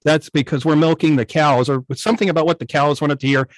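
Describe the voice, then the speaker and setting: A man speaking as an interview guest in an online meeting, telling things informally with hesitant, halting phrasing. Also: on the high side, fairly even intonation, no room sound. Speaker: guest, old-time radio station operator. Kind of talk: informal interview, anecdotal chat.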